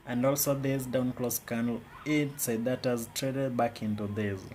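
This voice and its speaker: A man's voice: medium pitch, steady tone, measured pace, a little mellow, a little hiss or hum. A man with a Kenyan accent giving casual live commentary on his trades, often repeating words and phrases. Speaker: day trader.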